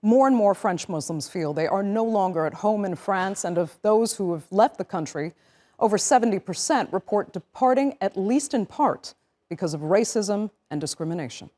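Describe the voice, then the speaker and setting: News anchor lady, mid voice, clear scripted read, studio sound. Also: medium pitch, animated, warm tone. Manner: clear, measured delivery with emphatic openers. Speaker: news show host.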